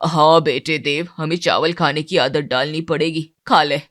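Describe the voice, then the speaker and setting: A mild middle-aged family man played by a woman storyteller in a cartoon tale. He talks in a measured, agreeable way, pitched slightly low. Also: fairly even intonation, fairly bright tone, no room sound, studio quality. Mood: coaxing. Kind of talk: Hindi moral-story cartoon character.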